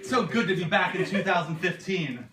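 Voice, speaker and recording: Man playing an excitable, high-voiced teenage boy puppet. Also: moderate pace, a crowd in the background. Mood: excitable, flustered. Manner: exclamatory, flustered. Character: excitable, apologetic teenage boy time traveler. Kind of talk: live comic puppet show acting. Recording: distant mic, echoey room, noisy.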